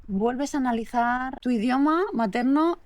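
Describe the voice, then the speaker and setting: A woman hosts a conversational podcast, asking curious, thoughtful questions and adding her own reflections. Her voice is fairly high. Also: a little slow, a little mellow, no echo. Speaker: podcast host. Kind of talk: casual interview conversation.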